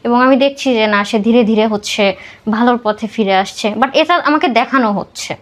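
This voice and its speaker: A woman's voice: a little high, normal speed. A woman with a Bangladeshi accent telling a personal life story as a podcast guest, dropping English words into her talk.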